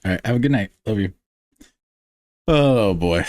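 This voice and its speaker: A male podcast host with a lively, swinging voice, recorded dry and close. He sounds amused and chuckles often as the others talk. His delivery is relaxed and conversational. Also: on the low side, unhurried, no room sound. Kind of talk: casual chat.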